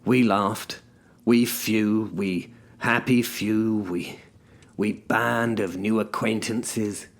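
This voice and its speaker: Deep, slow, urbane gentleman, acted. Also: fairly even intonation. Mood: grandly theatrical. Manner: theatrical, wry, formal. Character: urbane, pompous gentleman narrator, self-styled practised orator. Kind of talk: scripted first-person story narration.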